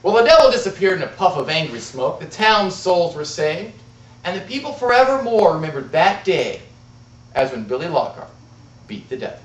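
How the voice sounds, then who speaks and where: A man performs a tall tale like a contest storyteller, with dramatic pauses and big swings in pitch. His delivery is deliberate and theatrical. The recording is muffled and picked up from a distance in an echoing room. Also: very animated. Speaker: amateur storyteller in a speech contest.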